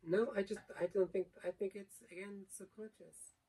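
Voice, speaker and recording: A woman's voice: mid-range voice, fairly even intonation, moderate pace, warm tone, husky. A younger woman thinking aloud in a casual chat with her partner, analytical and reflective, searching for her words. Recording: distant mic.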